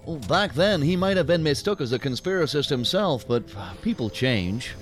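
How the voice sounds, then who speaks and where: An actor playing a deferential, uneasy agent in a sci-fi audio drama, speaking formally and haltingly. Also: male voice, on the high side, animated, soft background music, lightly acted. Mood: uneasy, careful. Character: deferential, uneasy male agent and task force leader. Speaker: subordinate law-enforcement agent.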